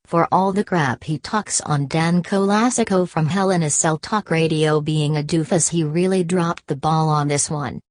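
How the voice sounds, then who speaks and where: A woman voicing a snarky female AI robot sidekick who mocks the host in a dry, flat way. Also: deep voice, moderate pace, hardly any pauses, dry recording, lightly acted. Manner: dry, mocking, flat delivery.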